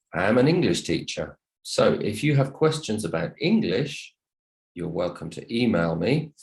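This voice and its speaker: A man's voice: low-pitched, darkish tone, low-quality recording. A man teaching English online, talking to his students in a polite, encouraging way. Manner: polite, encouraging, thanks listeners often. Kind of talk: online lesson talk to students. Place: online video call session.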